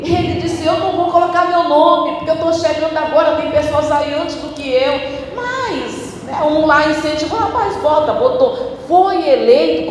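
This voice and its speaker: A female pastor preaching a sermon in a church, emphatic, with sudden swings in pitch and tempo. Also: a little hiss or hum. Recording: echoey church hall, recorded from a distance.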